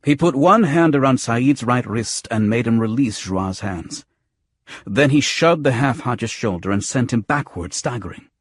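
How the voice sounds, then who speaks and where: A man's voice: mid-range voice, lively intonation, a little slow, slightly rough, no echo, studio quality. A man narrating scripted first-person noir fiction as an audiobook.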